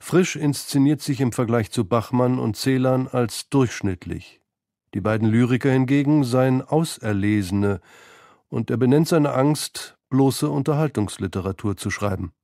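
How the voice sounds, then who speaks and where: A man's voice: mid-range voice, unhurried, mellow, no echo, clean studio sound. A man reading scripted narration for a radio feature about literature. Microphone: close studio microphone.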